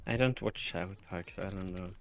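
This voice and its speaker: A calm, laid-back young man chatting with friends on a voice call. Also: low voice, fairly quick, vocal fry. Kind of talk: casual chat.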